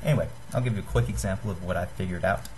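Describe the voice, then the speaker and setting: A young man giving a casual how-to demonstration to a webcam in a small room, halting and apologetic. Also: low-pitched, a little mellow, slight background noise. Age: young adult. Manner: halting, apologetic, self-deprecating. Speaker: hobbyist giving a homemade gadget tutorial. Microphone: webcam or computer microphone at desk distance.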